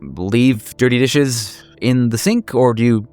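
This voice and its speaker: A man's voice: mid-range voice, takes it slowly, darkish tone, smooth, faint music behind. A man voicing a coy, playful woman who teases and mock-scolds, in a tabletop roleplaying game. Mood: coy, teasing. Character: coy, playful, teasing woman under witness protection.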